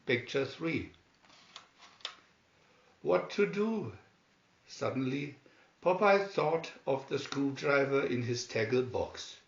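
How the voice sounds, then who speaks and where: A man reading a children's story aloud in a measured, expressive, scripted narration. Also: mid-range voice, vocal fry, echoey room, lo-fi sound. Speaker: storybook reader.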